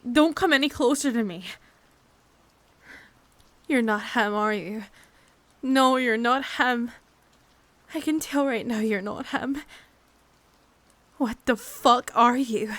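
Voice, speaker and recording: Horror ASMR roleplay: actress as scared, stranded young woman, high-pitched, unhurried delivery, sweary, prone to whispering. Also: a bit bright, dry recording. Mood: terrified, threatening. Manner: breathy sighs, drops into whispers, sweary. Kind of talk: improvised ASMR audio roleplay.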